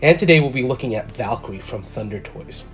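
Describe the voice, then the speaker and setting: A man hosting his own collector channel, talking through a careful review in a measured, methodical way, a little stuffy from a cold. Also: mid-range voice, expressive, a bit bright, faint music behind. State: slightly congested from a cold. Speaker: toy collector channel host. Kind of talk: scripted product review voice-over.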